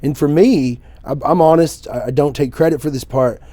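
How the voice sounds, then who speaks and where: A man in his forties talks in a mellow, warm voice as a podcast guest, earnest and reflective as he tells his story. The sound is close and dry, with no room echo. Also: a little high, normal speed. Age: 40s. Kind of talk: casual podcast conversation.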